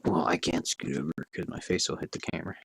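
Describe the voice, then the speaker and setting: A man's voice: low-pitched, fairly even intonation, fast, creaky, slight background noise. A man lying beside the gamer, making laid-back side comments from away from the microphone. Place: bedroom, on a bed away from the mic.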